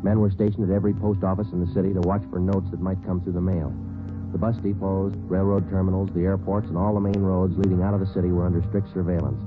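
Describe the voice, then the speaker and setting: A deadpan police detective, played by a man narrates in a very deep voice and a quick, clipped delivery. The sound is an old, tinny radio broadcast, and music sits clearly under it. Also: close to an everyday voice. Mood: terse. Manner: clipped, deadpan and matter-of-fact. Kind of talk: 1950s radio drama narration.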